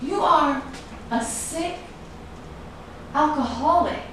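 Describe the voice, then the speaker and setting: Actress as a high-voiced, indignant wronged woman, echoey. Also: slow pace. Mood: furious. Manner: accusing, emphatic, indignant. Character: wronged, defiant woman confronting her abusive partner. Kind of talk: staged play reading, dramatic dialogue. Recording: very echoey room, distant mic, faint noise.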